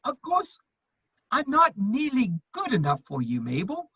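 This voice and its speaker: A woman playing a charming, lovestruck gentleman in his thirties in a comedy of manners, witty and earnestly pleading, on a muffled recording. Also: on the high side, huge pitch swings, measured pace, slightly rough, no room sound, heavily acted. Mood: relieved affection. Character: foppish, lovestruck gentleman just over thirty, charming wit. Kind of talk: stage comedy of manners, dramatic reading.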